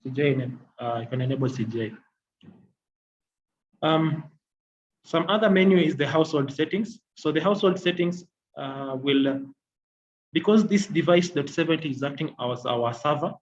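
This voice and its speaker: Higher-voiced man, halting tutorial, dull audio. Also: darkish tone. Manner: halting, repetitive explaining. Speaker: technical trainer. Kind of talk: software demonstration tutorial. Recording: dull lo-fi audio, faint noise.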